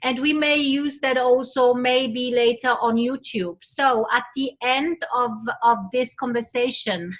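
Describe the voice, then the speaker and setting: Slow bright-voiced woman hosting a class. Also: high voice. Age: middle-aged. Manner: slow and coaxing, prompting the class to answer. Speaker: event host. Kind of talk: addressing a class of students.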